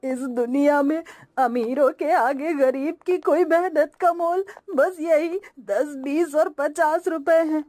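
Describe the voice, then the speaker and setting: A woman's voice: sits high, slow pace, dark tone, dry recording. A woman voicing a poor, sorrowful old grandmother in a cartoon story, plaintive and self-pitying. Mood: sorrowful, resigned. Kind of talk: Hindi cartoon story voice acting.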